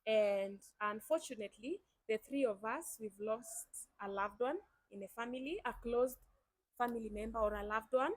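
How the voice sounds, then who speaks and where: Here is a young Kenyan woman who hosts her own channel in a chatty, upbeat way. Her voice sits a little high and sounds dry and close, and she talks straight to her viewers. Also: normal speed, dry recording, poor audio. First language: Swahili. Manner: chatty, enthusiastic, addresses viewers directly. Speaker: YouTube host. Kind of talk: casual chat.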